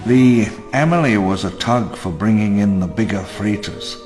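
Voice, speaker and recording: A man's voice: on the low side, natural voice. Elderly male founder, played by an actor, theatrical reflective memoir for a game log; long reverb, music behind. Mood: wistful, reflective. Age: older. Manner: measured, theatrical, ruminative storytelling. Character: wistful elderly male park founder recalling his downfall.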